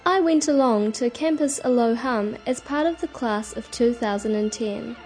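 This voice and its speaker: A woman radio reporter reading a scripted news feature in a measured way. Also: a little high, darkish tone, faint music behind. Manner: measured, informative.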